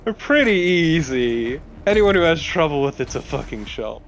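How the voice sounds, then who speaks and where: A man's voice: on the high side, big pitch swings, normal speed, a little hiss or hum. A man giving casual co-commentary on a video game, with an opinionated, teasing way of talking. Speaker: let's play co-commentator.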